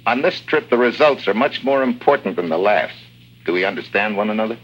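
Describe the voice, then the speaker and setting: Hard-boiled American agent, low voice, clipped deadpan delivery, 1950s spy drama, dull soundtrack. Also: male voice, fairly quick, husky. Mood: stern. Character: tough, terse, no-nonsense American male secret agent.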